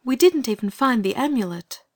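Man putting on a high, lilting young girl. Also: lively intonation, normal speed, heavily acted. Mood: shaky, reproachful. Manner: soft, earnest. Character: gentle, concerned young girl.

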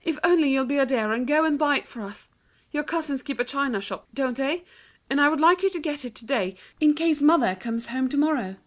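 Woman acting a young girl, high voice, earnest, well-spoken, pleading, children's storybook character. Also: animated, fairly quick. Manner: polite, coaxing, well-spoken. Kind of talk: acted children's storybook reading.

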